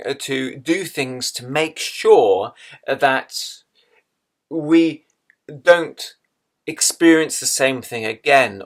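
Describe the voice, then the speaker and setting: Slow-speaking man guiding a meditation. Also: mid-range voice. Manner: slow, measured, with long pauses between phrases. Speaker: meditation guide. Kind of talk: guided meditation instruction.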